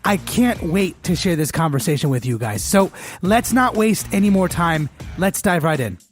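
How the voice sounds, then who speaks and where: A man's voice: a little high, lively intonation, moderate pace, music in the background. A man hosting a podcast, interviewing casually in an effusive, informal way. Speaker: podcast host.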